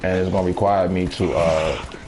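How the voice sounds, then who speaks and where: A man in his thirties chatting casually with viewers while gaming, laid-back, talkative and quick to laugh. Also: low-pitched, steady tone, heavy background noise. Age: 30s. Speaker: gaming streamer. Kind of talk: casual live-stream chat while gaming.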